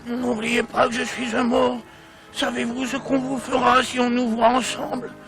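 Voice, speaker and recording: An actor playing a frail, sick Moorish fugitive in a cartoon, pleading in a weak, failing voice. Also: male voice, very high voice, monotone, moderate pace. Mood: cautious. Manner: pleading, breaks into whispers. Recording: music behind the voice.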